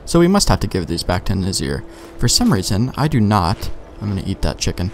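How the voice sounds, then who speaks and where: A man's voice: sits low, big pitch swings, fairly quick, a little hiss or hum. A man giving casual, sweary let's play commentary, thinking aloud in a laid-back way. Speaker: gamer, let's play host.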